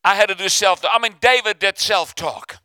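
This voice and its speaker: An older man preaches with fervour, his voice high, very bright and smooth. He calls on the church to answer back and slips into the inner voices he describes, all recorded close and dry. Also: a little fast, no room sound. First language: Afrikaans. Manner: fervent, calls for responses, acts out inner voices. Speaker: pastor. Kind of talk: sermon.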